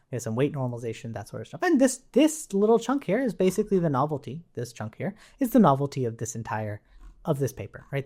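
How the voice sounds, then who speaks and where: A man's voice: medium pitch, darkish tone. A man giving a casual coding tutorial, explaining informally and thinking aloud as he goes, repeating key points for emphasis. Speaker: programming tutor.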